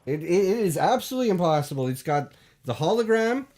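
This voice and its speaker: Deadpan hesitant male talk show host. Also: sits high, fast talker. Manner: hesitant, full of ums and restarts, straight-faced. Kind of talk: deadpan comedy banter.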